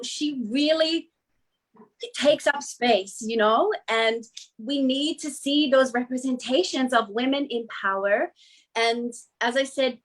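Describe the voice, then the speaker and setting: A woman's voice: fairly high pitch, a bit bright, rough recording. A middle-aged Australian woman who answers interview questions earnestly, in flowing sentences with frequent "you know.". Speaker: guest speaker.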